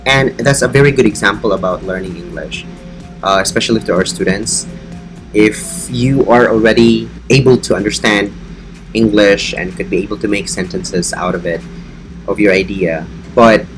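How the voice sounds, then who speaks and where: Filipino man, low voice, earnest teacher. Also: rough recording. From Philippines. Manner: earnest, encouraging, explanatory. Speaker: online English teacher. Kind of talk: casual teaching vlog.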